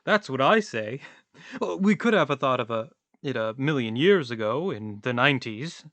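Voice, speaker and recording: A man's voice: mid-range voice, expressive, moderate pace, a bit bright, dry recording. A man playing a talkative, philosophical vagrant in a radio play, with a wordy, pompous, theatrical delivery. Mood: musing, rueful.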